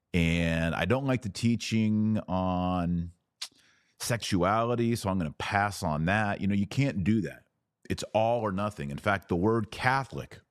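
A man's voice: sits low, a little mellow, no room sound, studio quality. A man hosting a podcast, giving conversational religious commentary in an emphatic, colloquial, explanatory manner. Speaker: podcast host.

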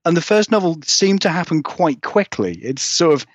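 A man's voice: a little high, very animated, moderate pace, dry recording, lo-fi sound. A man chatting casually as an interview guest about his writing, rambling, hedging and self-deprecating. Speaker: guest, horror fiction author. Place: remote call from another country.

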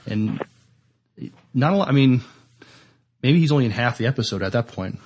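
A man co-hosting a podcast, talking casually about TV in halting, broken phrases. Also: mid-range voice, expressive, darkish tone, breathy edge. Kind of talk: casual TV discussion.

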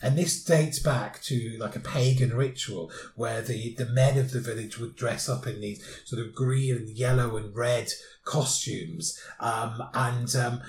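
Male host, mid-pitched, rambling and descriptive; echoey room, slight background noise. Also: darkish tone. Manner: descriptive, lots of fillers. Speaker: podcast host. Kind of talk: casual chat.

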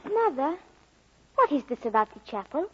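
A man's voice: very high voice, very animated, normal speed, sharp tone, exaggerated voice. A young male actor playing an earnest, loyal schoolboy in an old radio drama. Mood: earnest, worried. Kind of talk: 1940s radio drama acting. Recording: dull old 1940s radio recording.

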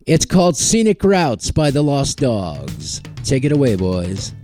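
A man's voice: medium pitch, wildly swooping pitch, measured pace, dark tone. A man hosting a music podcast, introducing songs in a loose, casual way with plenty of filler "uh"s. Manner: loose and chatty, many filler "uh"s. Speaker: podcast host. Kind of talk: casual radio-style song introductions.

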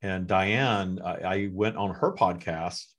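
Very deep-voiced male podcast host. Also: takes it slowly, fairly bright tone. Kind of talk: casual conversation.

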